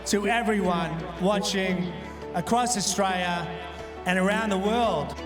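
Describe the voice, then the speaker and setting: A man's voice: sits high, measured pace, echoey room, music in the background. A man projecting his voice as a festival host addressing an audience from a stage.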